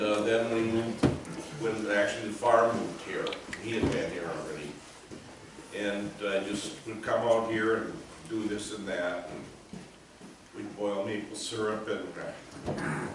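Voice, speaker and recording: A man with a big deep voice giving a eulogy, pausing often and laughing between memories. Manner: halting, with pauses and fillers, laughs between stories. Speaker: eulogy speaker. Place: memorial gathering, room with listeners. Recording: echoey room from far off, noisy.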